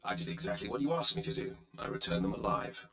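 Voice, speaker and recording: Deep, echoing Sith warrior. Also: male voice, sing-song, fast, sharp tone, heavily acted. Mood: curt. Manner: curt, threatening, clipped. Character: brutal, arrogant Sith warrior, curt and mocking. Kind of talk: video game voice acting. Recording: reverberant, echoing voice.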